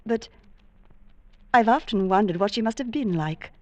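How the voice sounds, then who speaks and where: A tender, lonely single lady of old radio drama, acted by a woman. Her voice is soft, dark and closely recorded with little room sound. She speaks haltingly, genteel and wistful. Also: medium pitch, lively intonation, dry recording, lightly acted. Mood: tender, sympathetic. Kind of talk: old-time radio romantic suspense acting.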